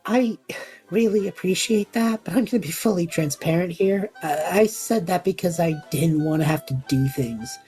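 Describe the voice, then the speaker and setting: A woman acts out a reluctant young mage in a fantasy audio drama. The voice is low and dark, with a casual, sardonic, self-deprecating way of talking. Music plays quietly underneath. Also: normal speed, close to an everyday voice. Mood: candid. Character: wry, reluctant, slangy young outworld magic user.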